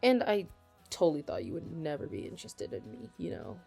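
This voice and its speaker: A young woman playing a flustered, affectionate best friend with a secret crush in an intimate ASMR roleplay, speaking hesitantly and haltingly. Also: sits low, big pitch swings, creaky, mellow, slightly rough, soft background music, full character voice. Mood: shy, confessional. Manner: hesitant, halting, breaking into nervous laughs. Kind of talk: ASMR romantic roleplay, intimate conversational acting.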